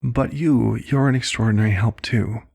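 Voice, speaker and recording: A man playing a compassionate, fatherly vampire doctor in an ASMR roleplay, speaking in a measured, formal, hushed way with a low, husky, gravelly voice. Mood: warm, encouraging. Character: compassionate old vampire doctor, fatherly, weary, gently persuasive.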